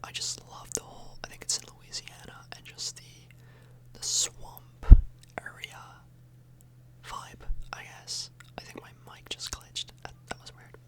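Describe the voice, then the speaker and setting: A woman speaking in a breathy, unvoiced whisper close to the microphone, rambling informally and a little hesitantly in an ASMR style. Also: unhurried. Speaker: ASMR video host.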